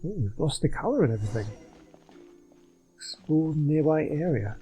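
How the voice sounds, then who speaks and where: A man giving casual, low-key commentary on a game playthrough and reading on-screen text aloud. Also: expressive, moderate pace, mellow, poor audio. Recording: music behind the voice.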